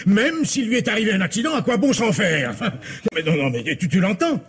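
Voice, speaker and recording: A man's voice: high-pitched, expressive, brisk, breathy edge, exaggerated voice. An actor playing an anxious, irritable husband in his fifties, stammering and exclaiming in the style of old French radio drama. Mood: indignant. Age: 50s. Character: anxious, irritable middle-aged husband and father, Robert.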